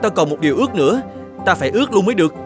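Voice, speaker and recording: Young cartoon hero: high, brisk, theatrical, blunt yet kind, over music. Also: male voice, expressive. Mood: excited. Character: poor, blunt but kind young man in fairy tale. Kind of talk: cartoon fairy-tale dubbing. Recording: music behind the voice.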